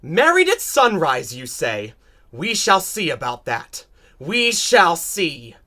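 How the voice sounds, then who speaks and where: Pompous rich villain suitor in an audiobook, mocking, takes his time, swoopy pitch. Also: wildly swooping pitch, slow pace, bright, forceful tone, heavily acted. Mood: sneering menace. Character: haughty, sneering rich suitor Agenor. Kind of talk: theatrical audiobook acting.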